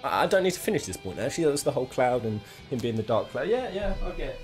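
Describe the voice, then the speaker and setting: A man's voice: mid-range voice, big pitch swings, fast talker, soft background music. A British man giving casual, jokey top-ten video game commentary, rambling and thinking aloud as he goes. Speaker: video game countdown host.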